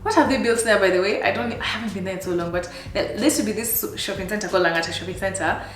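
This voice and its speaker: Woman in her thirties, Kenyan accent, chatty and animated, echoey room, faint music behind. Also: racing. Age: 30s. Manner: chatty and animated, laughs often, high exclamations. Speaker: vlogger. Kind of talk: casual life-update chat.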